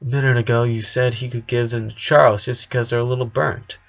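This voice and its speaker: A man voicing a gloomy, suspicious little goth girl with a flat, interrogating manner. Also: mid-range voice, brisk, gravelly, dull audio, close to an everyday voice. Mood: accusing. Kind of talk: storybook character voice.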